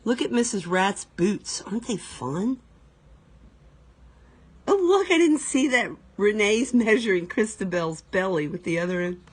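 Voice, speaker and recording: A woman reading a children's picture book aloud expressively, pausing to explain things to young listeners. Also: medium pitch, lively intonation, husky. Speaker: read-aloud storyteller.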